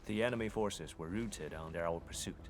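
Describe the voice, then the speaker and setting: Man playing a very low-voiced stoic male soldier. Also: a little fast, natural voice. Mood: formal, matter-of-fact. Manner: formal, blunt, unemotional. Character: stoic, terse male military operative reporting as a soldier. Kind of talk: video game voice acting.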